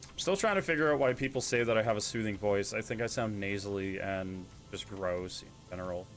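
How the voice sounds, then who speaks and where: A man with a stuffy, congested tone that leans nasal, rambling casually as he plays. His talk is loose and self-deprecating, with a soft bed of music behind him. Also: fairly low pitch, brisk. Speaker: streamer. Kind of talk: casual live-stream chat while gaming.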